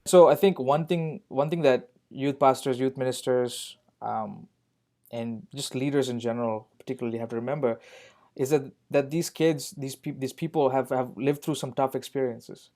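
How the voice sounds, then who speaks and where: A man's voice: mid-range voice, fairly even intonation, normal speed, dark tone. A man speaking as a podcast guest, earnest, repeating key points for emphasis. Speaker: guest on a youth ministry podcast. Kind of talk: reflective podcast conversation.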